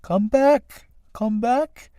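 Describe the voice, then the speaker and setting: A man's voice: extremely high pitch, drawn-out words, warm tone, clear, resonant tone, no echo, pitched above the performer's own voice, heavily acted. A man voicing nosy, callous little snails in a stage-play animal fable, chatty and gawking. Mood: gawking, amused.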